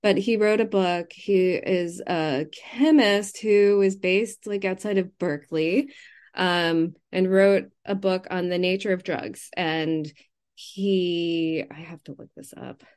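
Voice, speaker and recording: Lowish-voiced woman, halting and thoughtful. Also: steady tone, darkish tone, smooth. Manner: halting, self-interrupting, thinking aloud, laughs readily. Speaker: novelist, interview guest. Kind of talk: casual literary interview.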